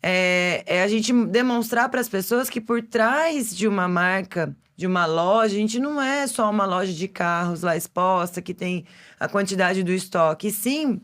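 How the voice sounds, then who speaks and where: A woman's voice: medium pitch, normal speed, fairly bright tone. A Brazilian woman hosting a casual podcast chat, talking emphatically with lots of fillers. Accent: Brazilian Portuguese. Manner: chatty, filler-heavy, emphatic. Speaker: podcast host.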